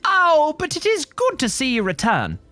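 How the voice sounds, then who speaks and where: A man's voice: very high-pitched, huge pitch swings, moderate pace, sharp tone, no room sound, faint music behind, pitched above the performer's own voice, exaggerated voice. A man voicing an anxious, earnest video game character who frets in formal, exclamatory speech. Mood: alarmed. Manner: fretful, exclamatory, formal wording. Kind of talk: reading video game dialogue aloud.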